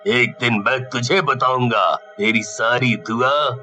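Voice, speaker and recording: A man voicing a scornful, gloating devil in a village bully's body for a cartoon story, with a menacing, drawn-out delivery. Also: medium pitch, bright, forceful tone, faint music behind. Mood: threatening. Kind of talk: Hindi cartoon story acting.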